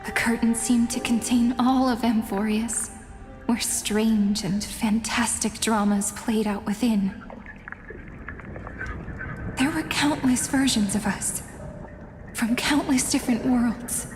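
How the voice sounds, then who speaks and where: Game heroine: young, sorrowful, reflective; slow delivery, slightly high pitch, cavernous reverb. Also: female voice, steady tone. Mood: wistful, questioning. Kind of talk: dramatic video game voice acting. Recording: long reverb, music underneath.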